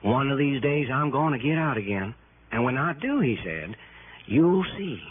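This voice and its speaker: A man's voice: medium pitch, big pitch swings, moderate pace, narrow, tinny audio, natural voice. An actor playing an anxious, insistent insurance company man in a 1960s radio drama, emphatic and earnest in delivery. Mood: grave, intense. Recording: thin, faintly noisy old radio broadcast.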